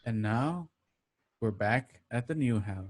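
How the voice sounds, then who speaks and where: A man's voice: mid-range voice, lively intonation, slow, darkish tone, a little hiss or hum. A man hosting a show, delivering a scripted promotional read. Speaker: host reading a sponsor spot. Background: guitar music.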